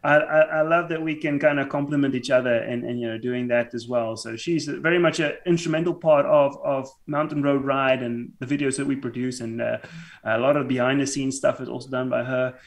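A man's voice: mid-range voice, steady tone, creaky. South african guy in his 30s, afrikaans is his first language, keeps stumbling and restarting, podcast guest on a call from home. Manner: halting, stammering restarts. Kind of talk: casual podcast interview. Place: home room, remote call. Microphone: headphones and podcast mic.

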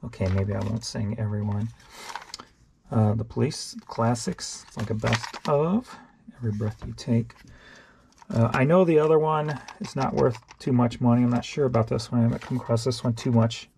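Gravelly-voiced man, rambling offhand vlog. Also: medium pitch, fairly even intonation, normal speed, warm tone, a little hiss or hum. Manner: rambling and offhand, thinks aloud while sorting tapes. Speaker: online reseller. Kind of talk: casual show-and-tell vlog.

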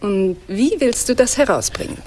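An actress playing a clever, curious goddess in a radio play, with an arch, playful, mocking manner. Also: female voice, a little high, lively intonation, moderate pace, darkish tone. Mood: teasing. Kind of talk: theatrical German radio drama acting.

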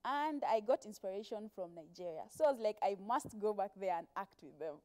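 A woman's voice: medium pitch, expressive, brisk, a little mellow. A woman in her twenties with a Kenyan accent, chatting casually as a guest in a TV interview and telling stories with quoted speech. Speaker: guest, actress. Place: TV studio, seated on a sofa. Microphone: clip-on lapel mic.

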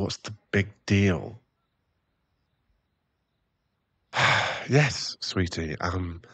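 A man playing an irritable, defensive, hard-drinking werewolf boyfriend and expectant father in an ASMR roleplay audio drama, given to sighs and snappish remarks. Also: low voice. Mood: weary, defensive.

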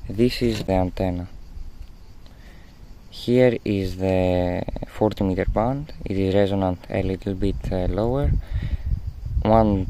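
A young man with a deep, mellow voice walks through a practical demonstration. He speaks very slowly and haltingly, with hesitant pauses. It is recorded outdoors on a phone with faint background noise. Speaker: amateur radio hobbyist presenter. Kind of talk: hands-on tutorial demonstration. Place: outdoors in a rural garden.

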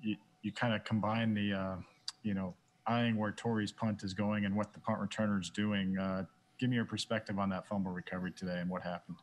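A man's voice: on the low side, level tone, brisk. A man, a sports reporter, putting direct questions to players at a press conference.

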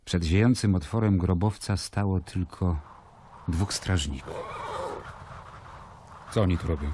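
An actor playing a stern, brooding Roman governor in an audio drama, solemn and commanding. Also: male voice, sits low, measured pace, warm tone, slightly rough.

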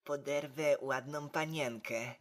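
A woman playing a hapless, meek but stubborn young man in an amateur comedy drama, speaking in a flat, unconvincing way. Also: low voice. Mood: meek, determined. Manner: unconvincing, flat, slow delivery.

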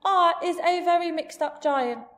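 A woman's voice: extremely high pitch, unhurried, fairly bright tone, voice pushed up. A woman voicing a big, friendly, blustering male giant from a storybook, speaking emphatically in jumbled grammar and made-up words. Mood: confiding. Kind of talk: storybook character voice.

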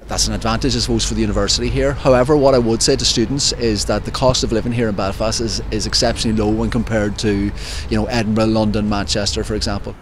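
A middle-aged man who manages student recruitment, interviewed outdoors on a campus with a clip-on mic, pausing often with fillers. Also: on the low side, steady tone, a little hiss or hum. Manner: hesitant with many fillers. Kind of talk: news interview.

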